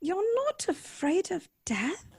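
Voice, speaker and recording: A woman's voice: very high-pitched, sing-song, slow, no room sound, exaggerated voice. An actress playing an earnest, tender-hearted and naive young lady in an old-time radio drama, questioning and emotive in her delivery. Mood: tender, pleading. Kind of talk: live recreation of 1930s radio drama.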